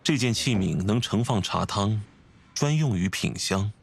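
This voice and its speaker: A man voicing a refined, composed, scholarly gentleman in a video game, speaking formally and courteously with measured phrasing. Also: lowish voice, animated, creaky, very noisy. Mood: instructive. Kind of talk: video game character acting.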